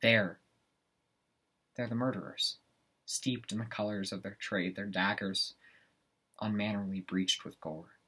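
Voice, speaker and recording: Man acting out brooding would-be regicide, tormented and ambitious, deep husky voice, deliberate classical stage soliloquy, weighted pauses. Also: steady tone, bright, forceful tone, full character voice. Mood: dark, grim.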